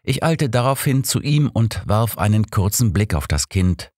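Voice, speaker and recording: A man's voice: fairly low pitch, big pitch swings, normal speed, darkish tone, no echo, studio quality, voice pushed up. A man voicing a courteous, sympathetic village doctor in an audiobook, polite and explanatory. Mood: earnest. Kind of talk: audiobook character dialogue.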